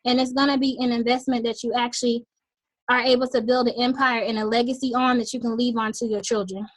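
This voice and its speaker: A woman hosting a team sales call in an upbeat pitch, her voice a little raspy from losing it, with lots of "um" fillers. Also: level tone, fast talker, no echo, low-quality recording. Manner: enthusiastic, frequent "um" fillers.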